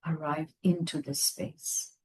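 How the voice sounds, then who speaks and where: A woman leading a guided meditation, speaking gently in unhurried phrases with long pauses. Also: low-pitched, level tone, slightly rough, poor audio. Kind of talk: guided meditation, slow soothing instruction.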